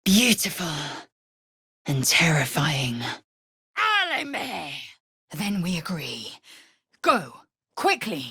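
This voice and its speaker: A woman's voice: lowish voice, huge pitch swings, drawn-out words, pauses often, sharp tone, husky, no echo, studio quality, exaggerated voice. A woman voicing a fierce, haughty alien warrior in a fantasy video game, with an imperious, clipped, solemn delivery. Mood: battle cries, then commanding.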